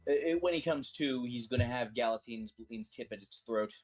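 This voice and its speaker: Hesitant tabletop player, mid-pitched. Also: brisk, faint music behind. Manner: hesitant, many fillers. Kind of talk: casual tabletop play.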